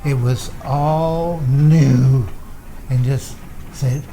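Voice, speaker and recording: An older man chatting with his son at home, halting and unhurried, with a dry sense of humor. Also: mid-range voice, steady tone, dark tone, a little hiss or hum, rough recording. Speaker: guest, host's father. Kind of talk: casual chat. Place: his condo, indoors.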